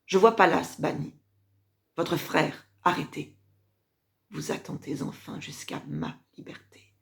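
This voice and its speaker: A woman playing a proud, scheming Roman empress mother who reproaches her son in a measured, declamatory French classical verse tragedy monologue, moving between hushed drops and emphatic swells. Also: deep voice, little pitch movement, gravelly, heavily acted. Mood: indignant accusation, then wounded, restrained anger.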